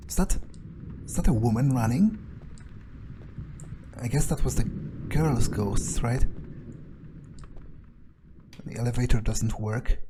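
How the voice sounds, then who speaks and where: A man's voice: medium pitch, slow pace, long pauses, background noise. A man doing casual, foul-mouthed in-character game commentary, muttering his thoughts aloud as he plays. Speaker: gaming streamer, let's player. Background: video game music.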